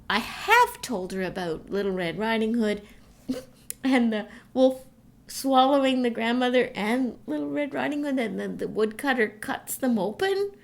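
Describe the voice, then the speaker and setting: Animated woman with a creaky edge. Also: high-pitched. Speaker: podcast co-host. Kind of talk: casual chat between a couple. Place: at home in self-isolation.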